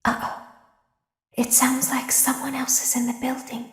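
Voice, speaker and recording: Woman plays a cruel animatronic girl, whispered threats, unhurried and high-set, echoing space. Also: monotone. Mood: menacing whisper. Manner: soft menacing whisper. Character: sinister girlish female animatronic, calm and cruel. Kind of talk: fan voice acting of game lines.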